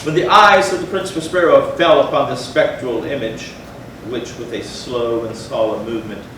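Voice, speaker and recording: A man narrating gothic horror as a dramatic stage reading, solemn and theatrical with deliberate phrasing. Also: medium pitch, steady tone, a bit bright. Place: indoor theatre, recorded from a distance. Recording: very echoey hall, far mic, noisy.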